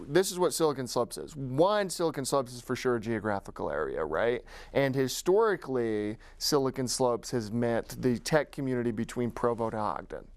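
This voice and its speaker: A man's voice: medium pitch, no echo. An American man from Utah answering interview questions as a guest in an informal, enthusiastic, conversational way. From Utah, United States. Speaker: guest, executive director of a tech community nonprofit.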